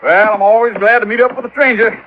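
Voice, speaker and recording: Man acting a high-voiced folksy Southern boatman. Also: steady tone, fast, natural voice. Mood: chatty, proud. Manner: rustic, chatty, colloquial drawl. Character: folksy, talkative waterfront boat-repair man, proud and plainspoken. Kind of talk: 1950s American radio drama acting. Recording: dull, noisy old radio recording.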